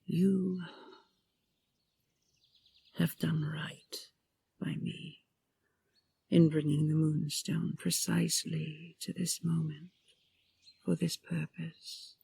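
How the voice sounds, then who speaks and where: A woman voicing an ancient, imperious dragon queen in an audio roleplay, breathy and gravelly, with a regal, commanding manner. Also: deep voice, little pitch movement, extremely slow, long pauses, warm tone, slight background noise, exaggerated voice. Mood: haughty, softening. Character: ancient imperious female dragon queen, stern, possessive, condescending.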